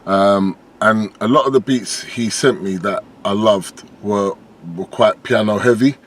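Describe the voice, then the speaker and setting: A low-pitched British man with a slight lisp, talking about his music in a relaxed, rambling way as an interview guest. He circles back on his words and leans on fillers. A faint hiss of background noise runs under the voice. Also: unhurried. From United Kingdom. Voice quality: deep voice with a lisp. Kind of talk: casual interview chat.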